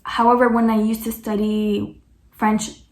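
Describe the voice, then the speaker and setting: A woman's voice: medium pitch, level tone, measured pace, darkish tone, echoey room. A woman vlogger answering viewer questions in casual chat, chatty and peppered with "like" and self-corrections.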